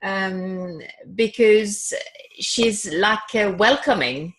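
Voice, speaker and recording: A woman's voice: medium pitch, extremely slow. Woman searching for words on a webinar. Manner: searching for words, repeats herself. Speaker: webinar participant. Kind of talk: answering a question aloud. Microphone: video call.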